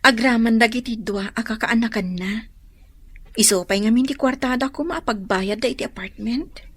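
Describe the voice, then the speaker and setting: An actress with a Filipino accent playing a humble, forgiving young bride in a radio drama. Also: female voice, fairly high pitch, moderate pace, breathy edge. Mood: tentative, caring. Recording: faint music under the voice.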